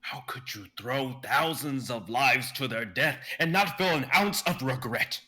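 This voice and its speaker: A man's voice: medium pitch, animated, a little slow, sharp tone. A man playing a bitter old immortal doctor. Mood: furious. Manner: furious, accusing. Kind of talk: live dramatic script reading.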